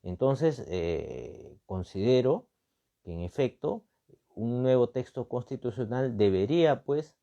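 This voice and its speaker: Dull lo-fi recording, Peruvian man, mellow voice, political commentator, emphatic repetition, rhetorical questions. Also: mid-range voice, expressive, takes it slowly. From Peru. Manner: emphatic, repeats phrases, frequent rhetorical questions and tags. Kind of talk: extemporaneous analytical talk.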